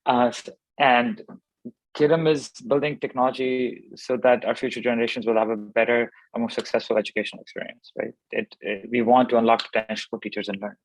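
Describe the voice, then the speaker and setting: A man's voice: medium pitch, little pitch movement, fairly quick, low-quality recording. Thoughtful man from Pakistan. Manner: thoughtful, many fillers and restarts. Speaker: startup founder guest. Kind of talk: conversational interview answers.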